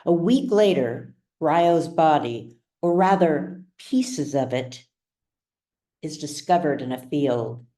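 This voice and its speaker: Low-voiced woman narrating a story, unhurried. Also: animated, mellow. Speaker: author reading their own novel. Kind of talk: scripted audiobook narration.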